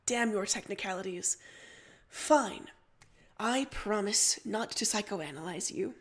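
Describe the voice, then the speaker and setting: A woman's voice: mid-range voice, lively intonation, measured pace. A woman voicing a prim, probing teenage girl with a formal, insistent way of talking, in a story reading. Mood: exasperated, prying.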